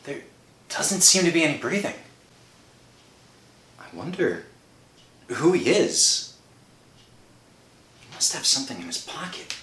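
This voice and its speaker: An actor playing a desperate, haunted unemployed husband in an emotive 1960s radio horror drama style. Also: male voice, fairly high pitch, sing-song, measured pace, pauses often, fairly bright tone, exaggerated voice. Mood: agitated. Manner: melodramatic, emotive, swinging from murmur to outcry. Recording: roomy old-time radio recording with music.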